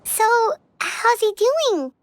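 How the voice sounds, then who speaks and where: A woman voicing a tiny, childlike, squeaky companion creature in a video game. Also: sing-song, very slow, dry recording, heavily acted. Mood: concerned. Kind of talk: video game dialogue acting.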